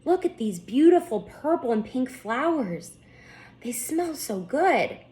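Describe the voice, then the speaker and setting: A woman voicing a lively, wise male pet turtle in a storybook, gently encouraging and full of wonder. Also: high-pitched, slow, pitched above the performer's own voice, exaggerated voice.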